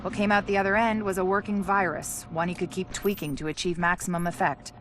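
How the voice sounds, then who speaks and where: An actress playing a virologist who briefs with brisk, dry, sardonic remarks. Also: female voice, lowish voice, flat delivery, a bit bright, slight background noise, natural voice. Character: dry-witted female virologist briefing an agent. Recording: game cutscene with music behind.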